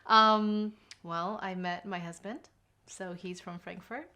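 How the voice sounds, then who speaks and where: An American woman from California, asking measured questions in a casual chat. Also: medium pitch, fairly even intonation, sharp tone. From California, United States.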